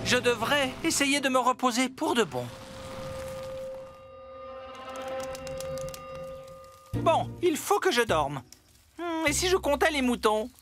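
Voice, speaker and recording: A very high, childlike voice for an anxious cartoon digger. It is fretful, excitable and dramatic, full of exclamations, with music playing behind it. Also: moderate pace. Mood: subdued. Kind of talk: French-dubbed children's cartoon acting.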